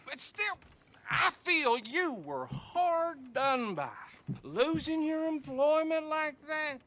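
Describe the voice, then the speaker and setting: An actor playing a tipsy, folksy, courteous small-town sheriff in a video game cutscene. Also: male voice, very high voice, very animated, slow, sharp tone, full character voice.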